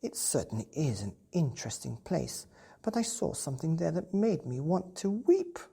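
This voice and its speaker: Storybook old she-cat , woman performer, courteous and worldly, breathy rasp, animated musing delivery. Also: deep voice, moderate pace, full character voice. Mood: musing, confiding. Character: polite, well-travelled old female alley cat.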